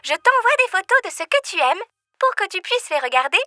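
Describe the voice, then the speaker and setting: Young female cartoon pet dog, extremely high pitch, animated intonation, whiny and exclamatory. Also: brisk, over loud music. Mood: cheerful. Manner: exclamatory, complaining. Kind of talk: French-dubbed children's cartoon acting.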